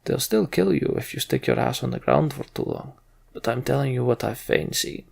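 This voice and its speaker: Casting: male player as ancient giant warrior for fantasy game, grim battle survivor, bitter and blunt, heavy gravel, husky. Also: medium pitch, expressive, moderate pace, full character voice. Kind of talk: voiced fantasy game dialogue.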